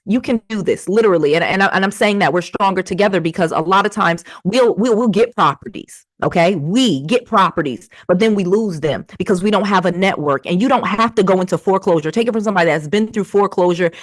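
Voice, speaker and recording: This is an American woman in her forties with a slightly low voice. She speaks informally, like a coach hosting a live session, leaning hard on key phrases and repeating them to persuade. The sound is dry, with very little room in it. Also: no echo. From Connecticut, United States. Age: 40s. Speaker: real estate investor and coach hosting a livestream. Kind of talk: casual motivational livestream talk.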